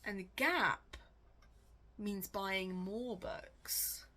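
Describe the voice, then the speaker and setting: A woman book vlogger chatting casually and thinking aloud, with a wry, animated, self-questioning way of talking. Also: medium pitch, extremely slow.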